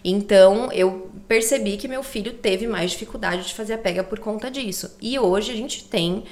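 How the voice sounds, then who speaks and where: A woman's voice: mid-range voice, moderate pace, darkish tone. Chatty woman explaining with tag questions. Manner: explanatory, chatty, frequent "né" and "tá" tags. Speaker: guest, co-founder of a baby layette consulting service. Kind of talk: casual podcast chat.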